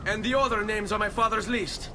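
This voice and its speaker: High-voiced young Italian nobleman. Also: steady tone, fairly quick, noisy background. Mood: puzzled, intent. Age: young adult. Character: earnest young Italian nobleman learning to be an assassin. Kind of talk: dramatic video game acting.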